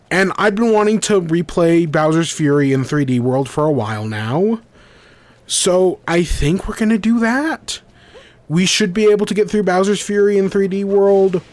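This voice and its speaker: A young man hosting a gaming podcast in a casual, rambling way, congested from a cold with a scratchy throat. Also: a little high. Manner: rambling, self-correcting. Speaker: podcast host. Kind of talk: casual livestream chat.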